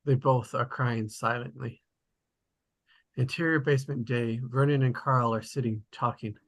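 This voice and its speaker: Very deep, husky woman narrator. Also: steady tone, measured pace. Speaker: stage-direction narrator. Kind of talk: scripted narration.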